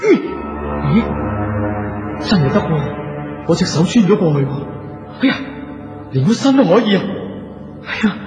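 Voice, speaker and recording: A man's voice: high-pitched, sing-song, moderate pace, long pauses, music in the background. An actor with a Hong Kong Cantonese accent playing a proud, anxious young male tycoon turned wandering soul in a radio drama, emphatic and incredulous. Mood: startled disbelief. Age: 20s. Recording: dull echoey audio with long reverb.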